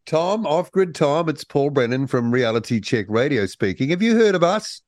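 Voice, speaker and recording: A man's voice: on the high side, very animated, moderate pace, darkish tone, no echo. A radio host in a dry, close studio sound, bantering in a jokey way. He tells anecdotes and plays all the voices in them. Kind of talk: radio talk show.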